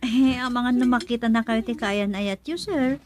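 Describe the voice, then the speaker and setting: A woman's voice: fairly high pitch, moderate pace, close to an everyday voice. An actress playing a polite, deferential young working woman in a radio drama. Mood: polite, shy.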